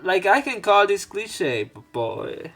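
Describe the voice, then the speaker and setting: A man's voice: sits high, big pitch swings, slow pace, a bit bright. A man reading a visual novel aloud as a let's play host, adding informal, sweary commentary.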